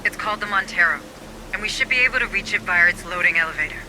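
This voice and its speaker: Man playing a quick, high-voiced marine. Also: close to an everyday voice. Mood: keen, teasing. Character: quick, teasing male marine. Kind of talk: cinematic sci-fi game voice acting. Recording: noisy vehicle interior ambience.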